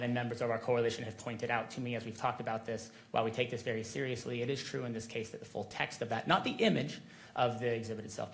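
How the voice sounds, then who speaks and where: A man speaking as an attorney in court, making a measured, deliberate formal argument. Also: mid-range voice, a bit bright. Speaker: attorney for a media coalition.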